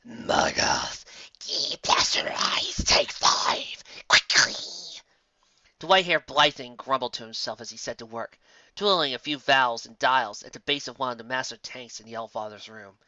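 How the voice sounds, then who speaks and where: A man's voice: mid-range voice, a little fast, bright, forceful tone, no room sound, lo-fi sound. A man reading a fantasy story aloud as a dramatic, theatrical and emphatic narrator. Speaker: narrator of fan fiction audiobook.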